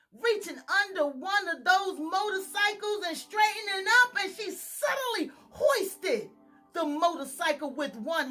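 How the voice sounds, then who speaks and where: A woman reading a children's picture book aloud, expressive and speaking directly to young listeners. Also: extremely high pitch. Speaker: storytime reader. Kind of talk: children's story read-aloud.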